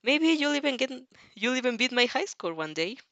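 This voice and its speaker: A cheerful teenage boy acted out by a woman for an audio story. He is a friendly gamer who likes to show off a little, talking in casual slang and quick to encourage, recorded close and dry. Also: high-pitched, big pitch swings, gravelly, a bit bright, no echo, muffled recording, voice pushed up, full character voice. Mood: gently encouraging.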